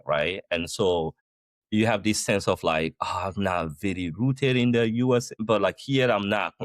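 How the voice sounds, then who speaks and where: Low-voiced man from Guinea, animated guest. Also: no room sound, studio quality. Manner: animated, speaks with many "like" fillers and rephrasing. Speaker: podcast guest, company founder. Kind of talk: casual conversational interview. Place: the Netherlands.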